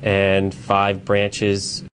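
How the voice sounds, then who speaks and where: A man with a fairly low voice talks through his research. His pace is unhurried and his explanations are patient, broken by small filler pauses. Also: completely flat delivery, a bit bright, slight background noise.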